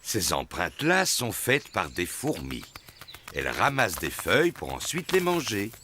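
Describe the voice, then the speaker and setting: Jolly, very animated daddy pig. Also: male voice, on the low side, a little slow, background noise. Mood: assured. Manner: jovial, self-assured. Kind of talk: children's cartoon dub acting.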